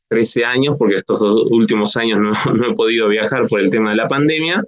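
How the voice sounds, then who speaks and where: A man's voice: on the low side, no room sound, muffled recording. A man in his forties with an Argentine Spanish accent, telling his story as a guest in a casual interview, rambling with restarts and fillers.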